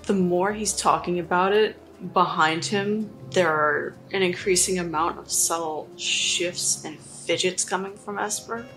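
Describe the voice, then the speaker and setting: A woman's voice: on the low side, a little slow, hardly any pauses, fairly bright tone, soft background music, rough recording. A woman player narrating her character's actions in a tabletop roleplay game.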